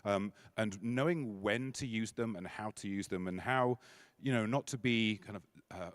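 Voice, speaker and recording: Low-voiced chatty conference MC man. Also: normal speed, no echo. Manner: chatty and self-deprecating, with many fillers and restarts. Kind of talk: casual stage introduction. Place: conference stage.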